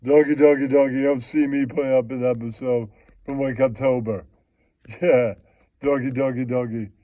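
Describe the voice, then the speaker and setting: A man's voice: medium pitch, fairly even intonation, moderate pace, dark tone, no echo, lightly acted. A man voicing a slangy, boastful, foul-mouthed puppet who repeats catchphrases in comic puppet show banter. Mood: boastful, joking.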